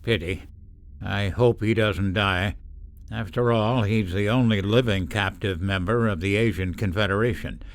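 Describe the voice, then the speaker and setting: A man's voice: fairly low pitch, a little slow. An actor playing a cautious, protective middle-aged father who hesitates and admonishes, in an old-time radio drama. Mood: uneasy.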